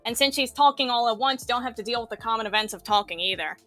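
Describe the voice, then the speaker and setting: Bright, high-voiced woman explaining software casually. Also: fast, dry recording, soft background music. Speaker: visual novel tutorial teacher. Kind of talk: casual explanatory tutorial chat.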